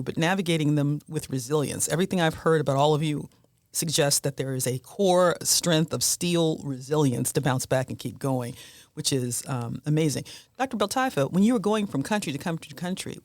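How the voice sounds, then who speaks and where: A woman's voice: low voice, no echo. A woman in her fifties, a physician hosting a podcast, chatting casually with guests and laughing between remarks. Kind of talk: casual panel conversation.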